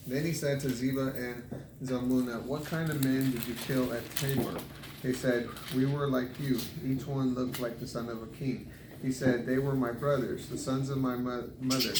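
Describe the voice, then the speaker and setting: Man reading scripture in an echoey room. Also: medium pitch. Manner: halting, stumbles over unfamiliar names. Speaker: scripture reader. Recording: echoey room with background noise.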